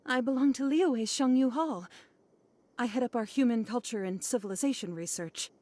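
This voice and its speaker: A woman's voice: on the high side, moderate pace, a little mellow, slight background noise, lightly acted. A woman voicing a courteous, apologetic female scholar in a video game. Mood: shaken. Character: polite, flustered female scholar.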